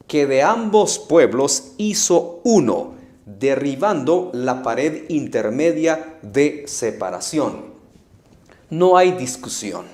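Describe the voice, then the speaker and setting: A man from Guatemala, preaching an emphatic sermon full of rhetorical questions and loud bursts. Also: medium pitch.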